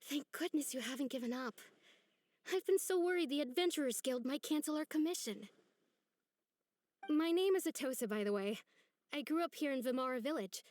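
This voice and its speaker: A woman's voice: high voice, animated, brisk, pauses often, darkish tone, no echo. An actress playing a polite, earnest young village woman in a video game, worried and pleading. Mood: hopeful, worried. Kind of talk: video game character acting.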